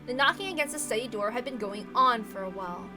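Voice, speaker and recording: A woman with a high voice narrates a visual novel. Her reading is expressive and slips into casual asides, with faint music underneath. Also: quick pace.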